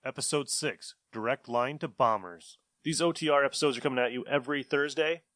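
A male podcast host reads an informal introduction. His voice sits at a medium pitch, and the sound is dry, with no room around it. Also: brisk, fairly bright tone, dry recording.

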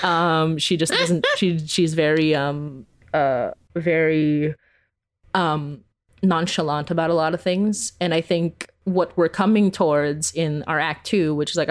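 A woman from the Philippines chatting casually as an interview guest, informal and giggly, peppering her talk with "like". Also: sits low. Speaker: interview guest, horror audio drama creator.

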